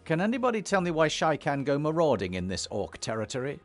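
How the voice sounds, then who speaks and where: An actor playing a resolute emissary and elder in a fantasy video game, firm, serious and authoritative. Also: male voice, on the high side, wildly swooping pitch, fast talker, soft background music. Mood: stern. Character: commanding male dragon-blooded emissary and elder, resolute hero. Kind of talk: dramatic video game acting.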